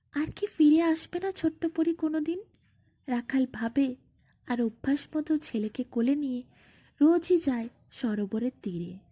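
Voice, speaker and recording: A woman tells a bedtime fairy tale in a high, husky voice. She takes it slowly, phrase by phrase, with dramatic pauses, on a slightly muffled recording. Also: warm tone. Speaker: storyteller. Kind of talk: expressive scripted bedtime-story narration.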